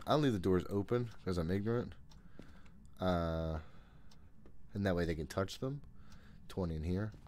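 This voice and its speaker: Low voiced guy in his 30s, jittery and sweary, talking himself through a horror game, noticeable noise behind him. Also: a little mellow. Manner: nervous, jokey, profane, self-narrating. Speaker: gaming streamer. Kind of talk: casual playthrough commentary of a horror game. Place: small room at home, indoors. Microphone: desk condenser mic close by, headphones on.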